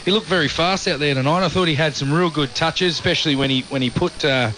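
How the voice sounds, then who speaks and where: Australian male radio pundit, measured and bright, light noise behind. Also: on the high side, poor audio. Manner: measured, hesitant with fillers. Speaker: radio rugby league commentator. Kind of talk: post-match radio panel analysis.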